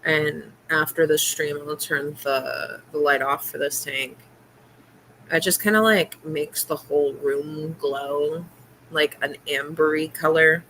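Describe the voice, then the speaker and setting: A woman's voice: deep voice, fairly even intonation, slow, fairly bright tone, slight background noise, poor audio. Meandering woman chatting late at night. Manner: meandering and hesitant, many fillers and trailing thoughts. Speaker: livestream host. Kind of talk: casual late-night livestream chat. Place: home room lit by fish tanks at night.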